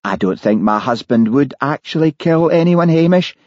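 A man's voice: fairly high pitch, expressive, normal speed, darkish tone, dry recording, voice pushed up, natural voice. A man voicing Mary, a woman insisting her husband is not so bad. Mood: insistent. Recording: dull lo-fi, dry.

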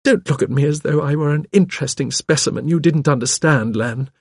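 A man's voice: on the high side, a little fast, gravelly, breathy edge, no room sound, clean studio sound, pitched above the performer's own voice. A man voicing a spirited, outspoken young wife with an impulsive, emphatic manner in an audiobook. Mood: eager. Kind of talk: audiobook character dialogue.

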